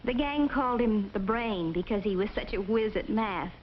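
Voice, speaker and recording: A woman's voice: mid-range voice, animated, normal speed, lightly acted. An actress playing a young college woman who narrates reflectively in a 1950s educational film. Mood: wistful, gossipy. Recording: muffled, hissy 1950s film soundtrack.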